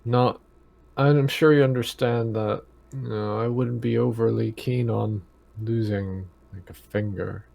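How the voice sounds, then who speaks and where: A man's voice: mid-range voice, steady tone, unhurried, gravelly, a little mellow. A man playing a tabletop game, chatting casually in hesitant, piecemeal phrases.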